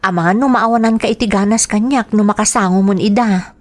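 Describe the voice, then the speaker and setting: Actress as wary young girlfriend, Filipino accent, mid pitch, radio drama delivery. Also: steady tone, fairly quick, lightly acted. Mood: worried, teasing. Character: cautious young girlfriend wary of her parents.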